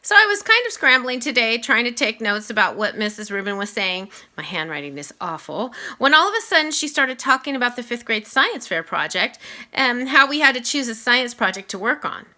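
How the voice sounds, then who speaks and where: A woman reading a children's novel aloud in expressive first-person narration, shifting delivery for dialogue and asides. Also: fairly high pitch, fairly quick.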